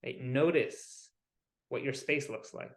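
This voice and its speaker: Man guiding a meditation in an echoey room. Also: medium pitch. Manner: soothing, unhurried, with pauses between short phrases. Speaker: wellness coach leading guided visualization. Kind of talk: guided meditation. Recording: roomy, echoing sound.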